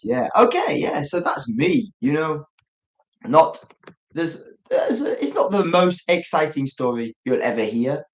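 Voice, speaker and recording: Slow, lively Englishman with a roomy echo. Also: mid-range voice. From England. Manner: rambling, chatty, thinking aloud. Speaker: English teacher hosting a live stream. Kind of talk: casual unscripted self-introduction to viewers.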